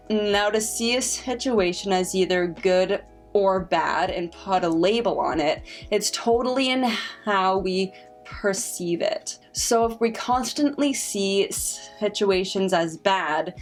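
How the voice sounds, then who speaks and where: A woman in her twenties with a stutter, talking casually and reflectively to camera as a vlogger, with frequent repeated sounds and restarts. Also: medium pitch. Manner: slow, reflective and encouraging.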